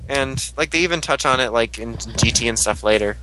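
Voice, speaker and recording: A man's voice: medium pitch, fairly quick, pushed and bright, slight background noise, rough recording. A young man in casual podcast chat, joking crudely and using lots of "like" fillers. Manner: crude jokes, frequent "like" fillers.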